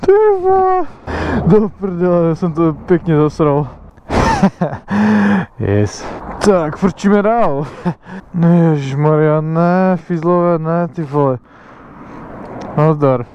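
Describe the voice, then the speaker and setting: Young man, from the Czech Republic, motovlog chatter, frequent laughter, casual swearing, slightly high voice, muffled lo-fi helmet mic. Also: sing-song, slight background noise. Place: outdoors riding an electric motorbike. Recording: dull lo-fi sound.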